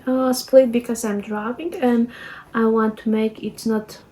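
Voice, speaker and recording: A woman in her thirties with a Latvian accent, speaking English as a second language. She calmly walks through her planning notes, pausing often with fillers. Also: fairly high pitch. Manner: soft-spoken, unhurried, many fillers and halting restarts. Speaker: lifestyle vlogger. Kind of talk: reflective planning walkthrough.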